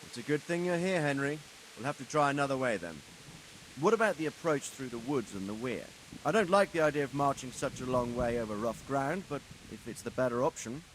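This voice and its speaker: An actor playing an authoritative medieval lord and military commander in a video game cutscene, speaking deliberately. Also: male voice, medium pitch, expressive, slight background noise, close to an everyday voice, poor audio. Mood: stern, assessing. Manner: authoritative, measured, questioning. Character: commanding, deliberate medieval nobleman leading soldiers.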